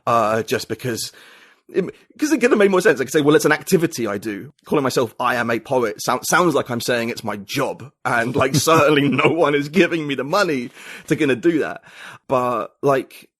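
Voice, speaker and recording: A man's voice: mid-range voice, brisk, a bit bright, no echo. Reflective man thinking aloud, dry sound. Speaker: guest, writer and podcaster. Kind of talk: casual interview conversation.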